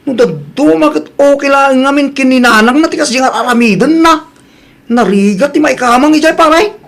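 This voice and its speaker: A woman's voice: high voice, moderate pace, gravelly. A woman with a Filipino Ilocano accent playing a frank young friend bearing news in a comedy drama. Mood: earnest.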